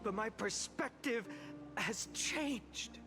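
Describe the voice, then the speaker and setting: An actor playing a solemn young hero who addresses a mourning city in a measured, declamatory game oration. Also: male voice, high voice, lively intonation, music in the background, exaggerated voice. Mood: grave. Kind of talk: dramatic game voice acting, public oration.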